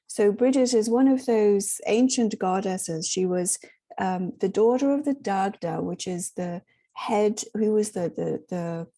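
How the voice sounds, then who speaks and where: A hoarse woman with a sore throat, gently leading an online spiritual group in a rambling, casual way with many pauses. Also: fairly low pitch. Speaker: spiritual workshop host.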